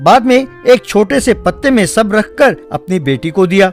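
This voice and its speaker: A man's voice: high-pitched, expressive, normal speed. A man narrating a scripted story over background music. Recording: music behind the voice.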